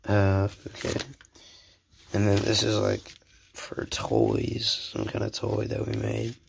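A man's voice: fairly low pitch, big pitch swings, slow pace, gravelly, a little hiss or hum. A young man hosting a casual show-and-tell vlog at home, a little sick, rambling and self-deprecating, often dropping into whispers. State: sick, voice slightly off. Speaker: channel host.

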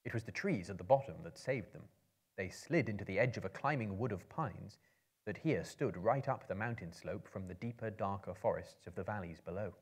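A male storyteller reads a fantasy novel aloud in a theatrical, measured way. His voice is fairly low and husky, with lively rises and falls. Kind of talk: dramatic reading of a fantasy novel to a live audience. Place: book-lined room with a live audience.

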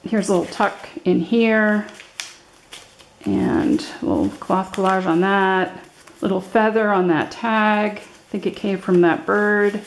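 An older woman giving a casual, unscripted show-and-tell as a craft vlogger, halting and thinking aloud as she goes. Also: mid-range voice, lively intonation, a little hiss or hum, rough recording. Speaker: craft vlogger showing her handmade journals. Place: indoors at a table, hands-only overhead camera.

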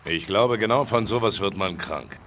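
An actor playing an older, gruff, dry-witted veteran soldier and father in a dubbed video game, terse and commanding. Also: on the low side, normal speed, noisy background, lightly acted. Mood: dry, calm. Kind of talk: German video game dubbing.

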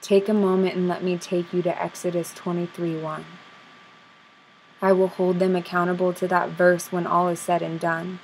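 Low, even-toned woman reciting spoken word. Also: normal speed, slight background noise, poor audio. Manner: soft, intimate, rhythmic rhyming delivery. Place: small dim room indoors. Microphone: webcam at close range.

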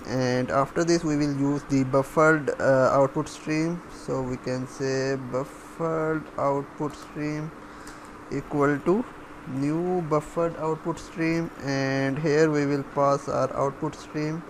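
A man giving a step-by-step coding tutorial, halting as he thinks aloud while typing. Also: mid-range voice. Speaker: programming tutorial instructor. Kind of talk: step-by-step coding screencast narration. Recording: faint background noise.

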